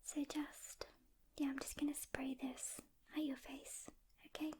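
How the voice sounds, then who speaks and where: A woman playing a calm, weary demon hunter mentoring a newcomer in a gentle, hesitant whisper, as soft-spoken ASMR roleplay. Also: sits high, measured pace. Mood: reassuring, careful.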